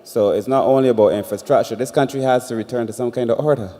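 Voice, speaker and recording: A man runs a public meeting and talks firmly about keeping order. His voice is dark and mellow, with a middle pitch. The big hall adds a long reverb. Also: fast talker. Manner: firm, speaks of order and enforcement. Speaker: chair of a public consultation. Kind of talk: chairing a town hall meeting. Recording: echoey hall.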